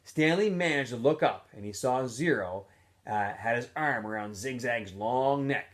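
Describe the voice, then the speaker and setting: A man reading a story aloud in an expressive storytelling style, acting out the dialogue. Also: mid-range voice, a little slow. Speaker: teacher reading a novel aloud. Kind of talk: storybook read-aloud narration.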